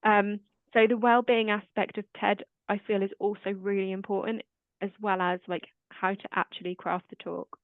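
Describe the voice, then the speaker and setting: Woman, quiet calming voice, casual chat, thin phone-y sound, no room echo. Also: medium pitch, moderate pace. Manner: quiet, calm voice. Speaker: host. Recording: dry, tinny phone-like line.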